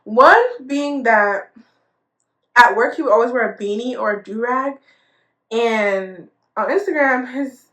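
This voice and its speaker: A woman's voice: a little high, a bit bright. A young woman in her twenties telling a casual storytime vlog, chatty, laughing often and full of fillers. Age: 20s. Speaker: storytime vlogger. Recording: echoey room.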